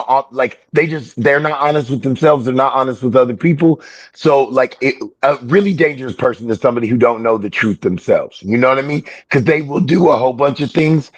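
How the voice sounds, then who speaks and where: A man's voice: mid-range voice, no room sound, low-quality recording. A man guest-hosting a podcast, talking earnestly in casual chat while losing his voice. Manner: earnest, repeats "you know what I mean". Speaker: guest host.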